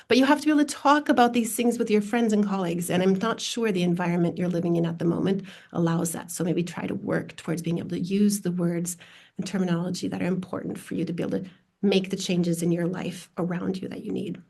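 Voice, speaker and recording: A woman's voice: fairly low pitch, fairly even intonation, fast talker, creaky, darkish tone. A woman from Minnesota answering a question as a conference panelist over a video call, candid and self-deprecating. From United States (Minnesota).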